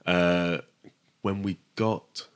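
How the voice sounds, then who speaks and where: A man in his thirties, a podcast guest with a naturally flat, monotone voice, talking casually in a rambling, self-deprecating way. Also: low voice, drawn-out words, clean tone. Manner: rambling, self-deprecating, many fillers and restarts. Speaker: podcast guest, comics letterer and editor. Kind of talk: casual conversation. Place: home studio.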